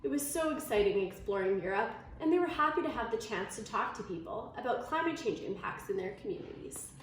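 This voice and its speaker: A woman reading a children's story aloud in an expressive storytelling manner. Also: fairly high pitch, fairly quick. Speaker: storybook reader. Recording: very echoey room, faint noise.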